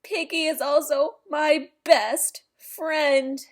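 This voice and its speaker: A woman voicing an anxious, melodramatic boy elephant from a picture book, with exaggerated, drawn-out words. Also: sits high, steady tone. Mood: miserable, wailing. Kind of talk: animated picture book read-aloud.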